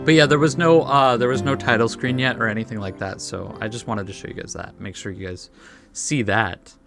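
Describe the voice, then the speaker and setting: A let's play host commenting casually on a game and reading its text aloud, a little haltingly, with soft interjections. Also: medium pitch, steady tone, vocal fry. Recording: game music behind the voice.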